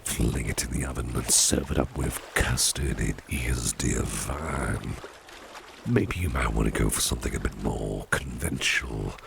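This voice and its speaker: A man playing a genial, attentive boss in an ASMR roleplay, unhurried and coaxing, often repeating his phrases. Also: rumbling low, full character voice. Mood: indulgent, coaxing. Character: genial, attentive male boss, courting his dinner date. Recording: clearly noisy background.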